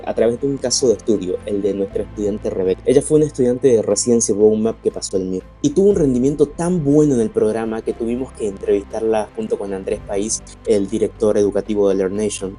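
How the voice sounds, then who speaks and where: A man's voice: fairly low pitch, warm tone. A man introducing a video, speaking directly to the viewer. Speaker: host, video narrator. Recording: voice over faint music.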